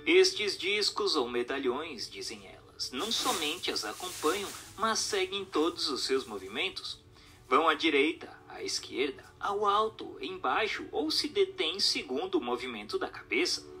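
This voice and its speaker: A man delivers scripted narration in a bright, forceful voice that sits a little high. His intonation moves widely while his phrasing stays formal and instructive. Faint music plays underneath. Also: big pitch swings, measured pace. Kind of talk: scripted narration, reading an expository text.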